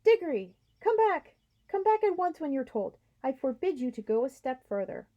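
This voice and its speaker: A woman voicing a fussy, cowardly older gentleman in a storybook reading, with shrill, nervous scolding. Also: very animated, normal speed, highs cut off.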